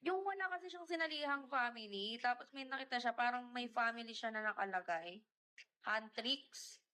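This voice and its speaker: A woman's voice: on the high side, normal speed, bright, forceful tone. A young woman, live-streaming and chatting casually, greeting viewers by name and mixing Tagalog with English. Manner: mixes Tagalog and English mid-sentence. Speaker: livestreamer.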